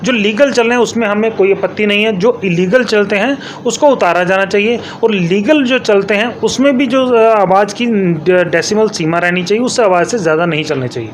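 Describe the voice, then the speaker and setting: A man's voice: high-pitched, normal speed, few pauses, slight background noise. A man answering interview questions as an elected local politician, explaining emphatically and repeating his points with English terms mixed in.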